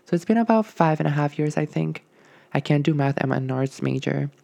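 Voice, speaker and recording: Young man with a gravelly voice. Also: on the high side, lively intonation, brisk, a little mellow, no room sound. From Puerto Rico. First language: Spanish. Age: young adult. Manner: rambling, hesitant, many ums and likes. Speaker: podcast host. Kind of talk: casual solo podcast monologue.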